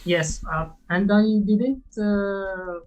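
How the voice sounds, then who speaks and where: A man in his twenties with a Syrian Arabic accent, a native Arabic speaker, answering interview questions in halting English full of fillers. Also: sits high, darkish tone, clear, resonant tone. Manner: halting, hesitant speech with many fillers and restarts. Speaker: guest trader.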